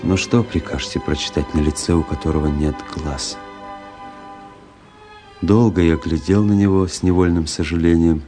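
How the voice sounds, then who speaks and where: An actor playing a reflective army officer who narrates his travels in a literary radio drama, pensive and measured. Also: low voice, music in the background, lightly acted. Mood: pensive, uneasy.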